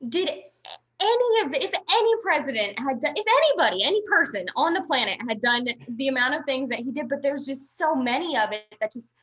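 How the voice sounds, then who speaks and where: A woman's voice: sits high, big pitch swings, fairly quick, a bit bright. A woman in her twenties chatting casually as a guest, rambling and restarting her sentences. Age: 20s. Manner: rambling, talkative, many restarts and "like". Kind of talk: casual chat.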